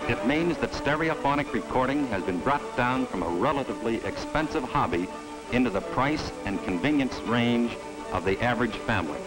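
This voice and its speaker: A middle-aged man presenting a vintage promotional film in a studio, giving a measured, emphatic sales pitch. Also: lively intonation, low-quality recording. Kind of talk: scripted promotional narration. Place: studio set. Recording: old film soundtrack with faint music.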